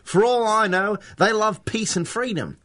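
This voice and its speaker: An actor playing a brash, frantic, wisecracking wartime hero in a 1930s-style radio adventure. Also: male voice, sits high, a little slow, bright, forceful tone. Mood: sarcastic. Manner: wisecracking, slangy, sarcastic.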